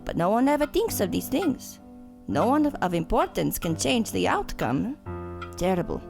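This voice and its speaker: A genteel old-fashioned nun played by a woman in an audio drama, with a gravelly creak in the voice. The speech is formal, measured and theatrical, with music playing behind. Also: mid-range voice, big pitch swings. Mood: mournful. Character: genteel, sorrowful nun, former orphanage headmistress.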